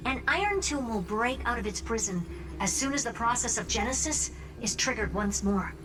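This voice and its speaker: A woman's voice: on the high side, natural voice, rough recording. An actress playing a confident, teasing woman genius in a video game, speaking with wry self-assurance. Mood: urgent, confiding. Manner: wry, self-assured, talking down playfully to the listener. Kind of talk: video game dialogue voice acting. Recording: loud music bed under voice. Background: background music.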